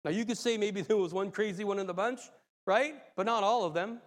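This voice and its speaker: A man preaching a sermon, a little raspy and hoarse from a cold, excitable and laughing as he talks. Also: high-pitched, brisk, big-room sound. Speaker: lay preacher.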